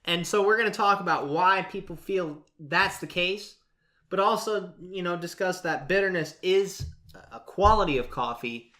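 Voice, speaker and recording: A man co-hosts a casual talk show with a fairly high, very bright voice. He speaks in an agreeable, conversational way. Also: normal speed. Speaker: podcast co-host. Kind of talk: casual chat.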